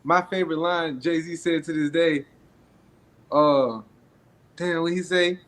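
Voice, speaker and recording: Raspy young man, projecting. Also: fairly high pitch, fairly even intonation, takes it slowly. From Atlanta, US. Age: young adult. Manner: projecting his voice, laid-back with frequent fillers. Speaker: rapper guest. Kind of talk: casual interview talk.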